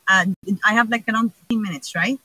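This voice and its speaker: A woman's voice: mid-range voice, brisk, pushed and bright, slightly rough, dry recording, rough recording. A woman from Gaza, speaking earnestly over a video call with many fillers. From Gaza, Palestine. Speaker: panel guest. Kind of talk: personal talk on a video call.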